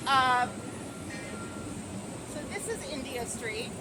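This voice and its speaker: A middle-aged woman, a local resident advocate, giving a walking tour of her street in an earnest, thoughtful way with many pauses and ums, filmed on a handheld camera outdoors. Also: high voice, expressive, loud music behind the voice, poor audio. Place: city street outdoors by the waterfront. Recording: loud street traffic noise. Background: traffic and construction noise.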